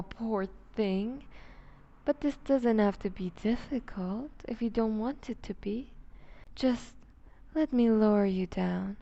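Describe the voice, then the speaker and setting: Creaky, slow-talking seductive vampire duchess, played by a woman. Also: medium pitch, dark tone, muffled recording. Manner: velvety teasing, condescending, unhurried, often dropping to whispers. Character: seductive, dominant vampire duchess, predatory and possessive. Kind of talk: ASMR roleplay, intimate scripted monologue.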